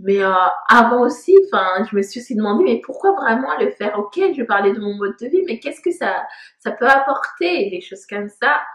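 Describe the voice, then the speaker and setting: A woman's voice: fairly high pitch, animated, normal speed, fairly bright tone. A woman in her thirties, originally from Congo, talking in a casual personal vlog, reflective and hesitant with many fillers. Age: 30s. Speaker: vlogger. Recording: echoey room.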